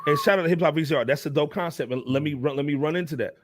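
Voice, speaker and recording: A man's voice: on the high side, racing, dry recording. An American man hosting a casual hip hop panel discussion, talking emphatically in slang and repeating phrases. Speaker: talk show panel host.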